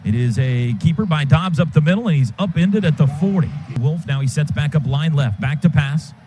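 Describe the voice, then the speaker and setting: A man doing live radio play-by-play of a football game, quick clipped calls that build to shouts on big plays. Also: a little high. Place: stadium radio booth. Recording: radio broadcast with loud crowd noise.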